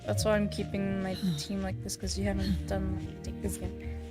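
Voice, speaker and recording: A woman's voice: medium pitch, completely flat delivery, moderate pace. A young woman chatting casually and giggling as she streams a video game. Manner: giggly, easily surprised. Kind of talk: casual livestream chat while gaming. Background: game music.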